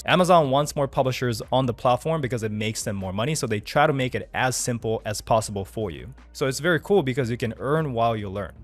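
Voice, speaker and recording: A man around thirty from Japan, a native Japanese speaker, giving an upbeat, persuasive pitch to camera as an online business coach and often tagging on "okay?". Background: faint background music.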